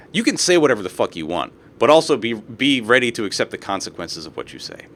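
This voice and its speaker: A man's voice: fairly low pitch, very animated, brisk, a bit bright, a little hiss or hum. A young man streaming live, reading posts aloud and ranting about them with plenty of swearing. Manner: profane, ranting, reads posts aloud with asides.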